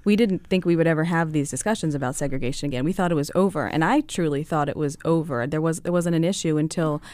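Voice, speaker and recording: A woman's voice: low-pitched, fast, a little mellow, no echo. A woman in her thirties from Baltimore County, a radio panel guest speaking earnestly and personally. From Baltimore County, Maryland. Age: 30s. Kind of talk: casual discussion.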